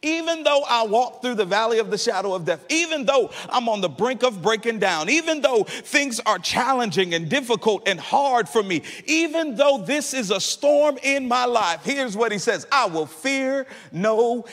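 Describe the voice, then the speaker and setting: A man's voice: very high voice, animated, normal speed, hardly any pauses, a bit bright. A man in his thirties preaching a sermon from a church stage into a handheld mic, rising into half-sung, impassioned peaks. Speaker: pastor. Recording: long hall reverb.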